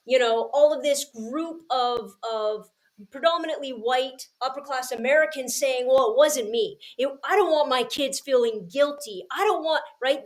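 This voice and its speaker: A woman hosting a podcast, speaking casually and reflectively in an earnest, emphatic way. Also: high-pitched. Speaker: podcast host, educator.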